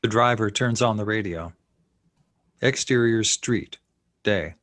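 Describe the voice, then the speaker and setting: A man's voice: fairly low pitch, slow, fairly bright tone, dry recording. A man reading screenplay stage directions as scripted narration.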